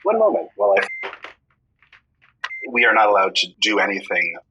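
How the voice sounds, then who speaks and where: A man playing an earnest, awkward Buddhist monk who confesses nervously and haltingly on a comedy radio call-in. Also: on the low side, sing-song, creaky, pushed and bright, highs cut off, a little hiss or hum, heavily acted. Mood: nervous, smitten.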